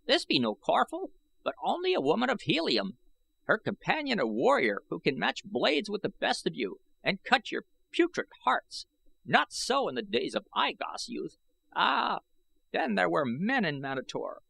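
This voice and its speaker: A man voicing a boastful, cackling old Martian in a shrill voice, with old-fashioned taunting speech, in an audiobook. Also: wildly swooping pitch, moderate pace, creaky, sharp tone, breathy edge, heavily acted, poor audio. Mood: scornful.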